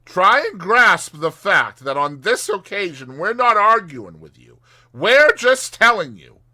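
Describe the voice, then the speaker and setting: A storybook water rat, played by a man, pitched high and lively. He is firm and emphatic, an earnest friend giving a stern but kindly telling-off. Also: a little slow, exaggerated voice. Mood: stern but caring. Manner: emphatic, admonishing. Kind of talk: dramatized audiobook reading.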